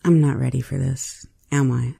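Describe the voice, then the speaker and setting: A man's voice: medium pitch, fairly even intonation, normal speed, vocal fry, mellow, husky, dry recording, studio quality, voice pushed up. A man voicing an earnest, unsure young boy in an audio story, with a hesitant manner.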